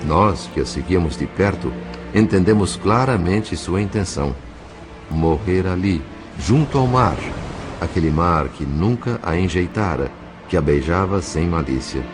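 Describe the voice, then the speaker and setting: A man with a Brazilian accent playing a grave, compassionate narrator in an audio drama, in measured, literary phrasing. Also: low voice, animated, over music. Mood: solemn.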